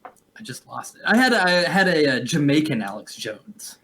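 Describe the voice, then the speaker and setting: Jokey podcast host, slightly high voice. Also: male voice, very animated, normal speed, sharp tone. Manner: jokey, laughs often, drifts into impressions. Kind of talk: casual podcast chat.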